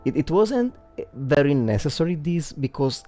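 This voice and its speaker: A man livestreaming game commentary and analysis, thinking aloud haltingly and repeating words as he works out his points. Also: a little high, very animated, warm tone, soft background music.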